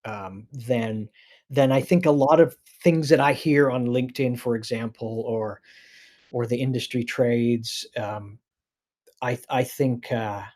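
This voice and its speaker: Casting: middle-aged Canadian guy, interview guest, halting and wry, mid-range voice. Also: medium pitch, a little mellow. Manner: hesitant, many restarts, wry. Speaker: animation producer, guest. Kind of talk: casual interview storytelling.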